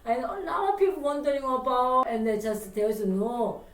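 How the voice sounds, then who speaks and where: A middle-aged woman with a Korean accent, teaching pronunciation in English and repeating words slowly so the student can copy them. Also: sits high, very animated. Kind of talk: lively pronunciation lesson. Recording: roomy sound from a distant mic.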